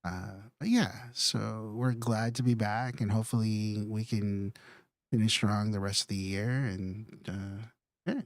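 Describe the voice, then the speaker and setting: A man's voice: fairly low pitch, fairly even intonation, no echo, clean studio sound. A man co-hosting a podcast in casual chat, rambling and restarting often. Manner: rambling, halting with many restarts. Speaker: podcast co-host.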